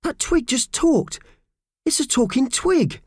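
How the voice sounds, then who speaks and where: A man voicing an anxious, excitable little male mouse who blurts and frets, in a children's audiobook. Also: extremely high pitch, slow pace, dry recording, clean studio sound, pitched above the performer's own voice, full character voice. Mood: astonished. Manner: exclaiming, fretful, blurting.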